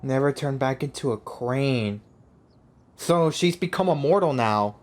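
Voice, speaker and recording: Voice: mid-range voice, animated, unhurried, darkish tone. A gaming YouTuber giving casual, slangy, offhand reactions during a let's-play.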